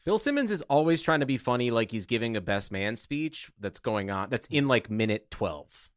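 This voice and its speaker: Male podcast co-host, medium pitch, dry sound, sarcastic casual sports talk with swearing. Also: animated, moderate pace, no echo.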